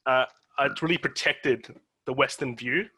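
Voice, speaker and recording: A man from Australia hosting a casual interview, speaking haltingly with frequent restarts. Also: mid-range voice, sharp tone, slightly rough, poor audio. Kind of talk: casual conversation.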